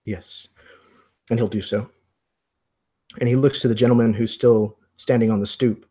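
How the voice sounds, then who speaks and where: Guy game mastering a mystery rpg, narrating scenes, middle pitch, bit husky. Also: steady tone, moderate pace, dark tone.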